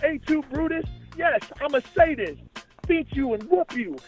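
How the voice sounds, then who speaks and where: This is a man with a notably high voice. He speaks as a rapper and podcaster guesting on a talk show, in a casual style suited to freestyles and shout-outs. Music sits faintly in the background. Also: lively intonation, normal speed. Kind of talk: freestyle battle rap and casual promotional chat.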